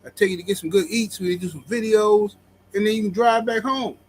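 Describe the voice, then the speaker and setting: A man's voice: sits high, a little fast, a little hiss or hum. A male host running a casual show who teases his guest and keeps the jokes coming. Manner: teasing, jokey.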